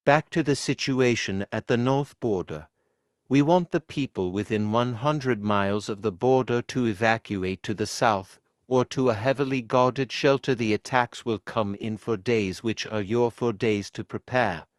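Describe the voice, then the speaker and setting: A man's voice: mid-range voice, measured pace, no room sound, studio quality. A man as an amateur news reporter, delivering a scripted role-play emergency bulletin.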